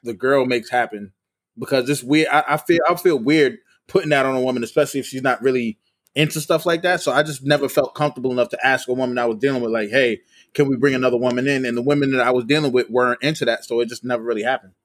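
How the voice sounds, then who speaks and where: A man's voice: mid-range voice, steady tone, fast talker, dry recording, studio quality. A man in his late thirties talking casually as the host of a podcast. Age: late 30s. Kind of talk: casual chat.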